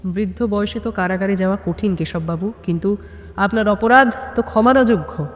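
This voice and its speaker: A woman voicing a grave, astonished male companion in a detective audio story, questioning and deliberate. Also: sits high, natural voice. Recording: long hall reverb.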